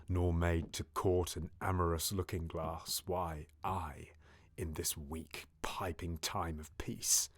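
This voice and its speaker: Low, scheming Shakespearean villain. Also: male voice, fairly bright tone, dry recording, exaggerated voice. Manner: intimate, theatrical, often near-whispered soliloquy. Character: scheming, bitter duke, villainous brother of the king. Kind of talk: classical Shakespearean audio theatre.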